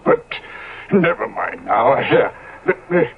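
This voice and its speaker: An actor playing a devoted, anxious manservant in a 1970s radio drama, deferential in manner and hesitant when troubled. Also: male voice, mid-range voice, huge pitch swings, takes it slowly, gravelly, slightly rough, full character voice. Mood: soothing. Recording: muffled narrowband old radio recording.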